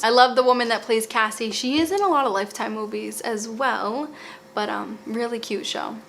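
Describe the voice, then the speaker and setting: A woman's voice: on the high side, fairly bright tone, a little hiss or hum. A woman with a Boston accent, casually recommending TV shows in a rambling, chatty way with fillers and restarts. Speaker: lifestyle vlogger.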